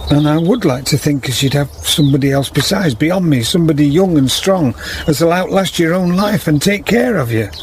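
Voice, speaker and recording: An actor playing a gentle, ageing weaver and devoted father in a radio drama, speaking tenderly in plain rustic phrases. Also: on the high side, expressive, moderate pace, hardly any pauses, a little hiss or hum, natural voice. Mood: tender, resigned.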